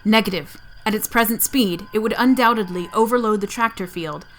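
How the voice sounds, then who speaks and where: A woman's voice: medium pitch, brisk, a little hiss or hum, natural voice. A woman playing a coolly logical starship science officer in a sci-fi audio drama, speaking formally and precisely. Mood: cool, composed.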